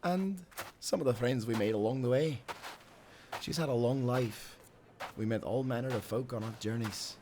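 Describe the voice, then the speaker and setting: An actor playing a tender, grieving old sailor with a Scottish accent in an audio drama. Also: male voice, mid-range voice, moderate pace, noisy background, natural voice. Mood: somber, gentle.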